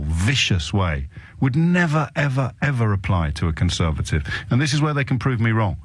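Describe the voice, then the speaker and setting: A man holds forth on talk radio, building indignation through emphatic repetition. His voice sits mid-range and the studio sound is close and dry, with no room echo. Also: medium pitch. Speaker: radio phone-in host. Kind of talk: opinionated talk radio monologue. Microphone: studio microphone.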